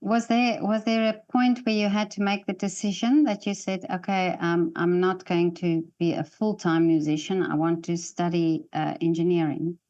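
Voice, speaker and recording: A woman's voice: on the low side, flat delivery, normal speed, hardly any pauses, darkish tone, dull audio. Enthusiastic woman host on a video call. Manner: enthusiastic, encouraging. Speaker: interview host. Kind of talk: casual interview over video call.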